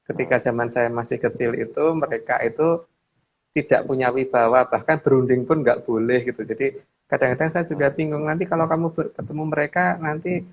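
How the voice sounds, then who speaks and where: A man's voice: mid-range voice, fairly even intonation, brisk. A middle-aged man talks through his views in a casual discussion. He circles back over phrases and checks for agreement, on a slightly dull recording with some background noise. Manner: repeats phrases while explaining, adds frequent tags seeking agreement. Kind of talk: casual discussion, explaining opinions.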